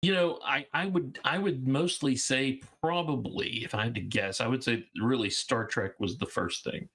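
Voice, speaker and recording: A man's voice: medium pitch, moderate pace, fairly bright tone, husky. A man of fifty from Georgia, chatting casually as an interview guest, rambling with fillers and restarts. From Georgia, USA. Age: 50s. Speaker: guest game designer.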